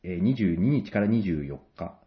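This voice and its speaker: A man chatting casually as a guest stage actor on a radio show, joking in a deadpan way. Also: low-pitched, moderate pace, muffled recording.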